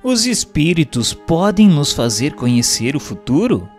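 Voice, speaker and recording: A man's voice: on the high side, sing-song, a little slow, a little mellow. A man with a Brazilian accent playing an earnest student who puts formal, studied questions in a scripted radio drama. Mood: earnest, inquiring. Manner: formal, inquiring. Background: music.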